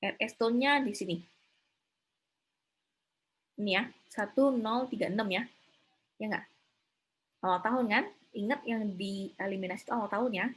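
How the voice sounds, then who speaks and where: A woman lecturer with a somewhat husky voice at a middling pitch. She teaches in a conversational way, thinking aloud as she works through steps. She keeps tossing short questions to her students to confirm they follow. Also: moderate pace, pauses often, poor audio. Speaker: accounting lecturer. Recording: slightly rough online class recording.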